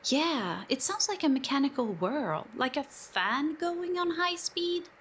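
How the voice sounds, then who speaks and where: A woman's voice: high-pitched, animated, a little slow, a bit bright, a little hiss or hum. A woman playing an eccentric, curious tech-priest scholar, affectionate and a little nervous, in a sci-fi audio drama. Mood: puzzled caution.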